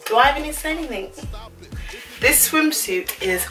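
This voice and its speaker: A woman's voice: sits high, animated, normal speed, bright, forceful tone, far from the mic, faint music behind. A woman, chatting casually and gushing through a clothing try-on haul, with slangy asides. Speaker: fashion vlogger. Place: small bedroom indoors. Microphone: camera on a stand a few feet away.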